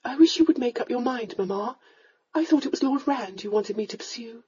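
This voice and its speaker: A woman's voice: on the high side, expressive, a little fast, mellow, breathy edge, muffled recording, voice pushed up. A woman voicing a reluctant young noblewoman answering her mother in a subdued, patient way. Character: tall, reluctant young noblewoman, weary daughter.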